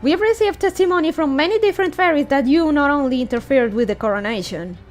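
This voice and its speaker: A stern fairy lady in command of the guards read aloud by a woman. The delivery is formal and commanding, in a high voice with faint music beneath. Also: animated, fast. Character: stern, formal female fairy head of security.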